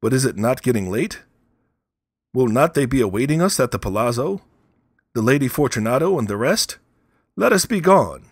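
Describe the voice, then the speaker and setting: A man's voice: medium pitch, lively intonation, normal speed, long pauses, breathy edge, no room sound, clean studio sound, voice pushed up. A man voicing a desperate, doomed nobleman in a gothic story, with forced laughter and pleading. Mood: nervous coaxing. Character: chained, doomed nobleman, drunk turned frantic, laughing desperately.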